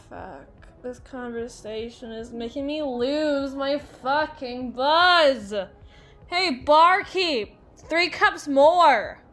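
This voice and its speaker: Woman acting tipsy haughty boss lady, slow and theatrical. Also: sits high, fairly bright tone, heavily acted. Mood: weary, irritated, then teasing, boozy. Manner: theatrical, drawn-out, playful wordplay, slipping into breathy whispers. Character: tipsy, haughty, melodramatic female boss flirting with her employee. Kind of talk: ASMR roleplay voice acting.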